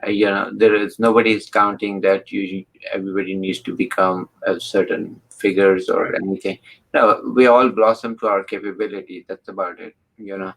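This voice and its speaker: A man hosting an interview, speaking slowly and haltingly with many fillers. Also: deep voice, little pitch movement, gravelly, low-quality recording. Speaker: interview host. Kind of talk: casual interview talk.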